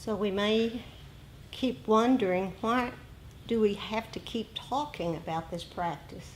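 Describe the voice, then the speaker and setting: A woman teaches meditation in a dharma talk. She speaks very slowly, in short deliberate phrases with pauses. There is steady background noise in the recording. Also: medium pitch.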